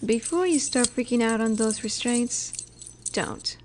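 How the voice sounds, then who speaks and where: Soft, mellow, high-voiced female mafia boss acted by a woman. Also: slight background noise, lightly acted. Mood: calm, warning. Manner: soft, unhurried, soothing yet in command. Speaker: audio roleplay voice actor. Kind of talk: intimate scripted audio roleplay, addressed to listener.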